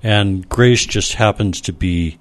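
A man who is a scholar and panel guest, explaining in a measured way with frequent fillers. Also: on the low side. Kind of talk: panel discussion.

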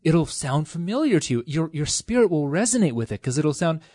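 Excited, rambling man, dry close sound. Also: a little high, fairly quick, no echo. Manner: enthusiastic, rambling, many fillers and restarts. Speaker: scripture study discussant. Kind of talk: casual group discussion.

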